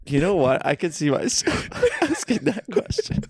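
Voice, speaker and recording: A man's voice: high-pitched, huge pitch swings, normal speed, creaky, slightly rough, no room sound, studio quality. A young man co-hosting a podcast, reading a comedy script in a playful, mock-philosophical way and giggling often. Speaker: podcast co-host. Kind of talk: comedic read-through of a script. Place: friend's basement.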